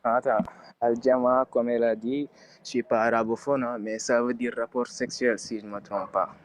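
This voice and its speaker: A man's voice: mid-range voice, level tone, normal speed, low-quality recording. A man calling into a live debate, hesitant and stammering over his words.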